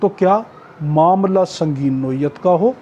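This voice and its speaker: A man's voice: a little high, slow, mellow, dull audio, a little hiss or hum. A man with a Pakistani accent teaching an exam-prep class as a lecturer, explaining emphatically with repetition and rhetorical questions. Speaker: law teacher.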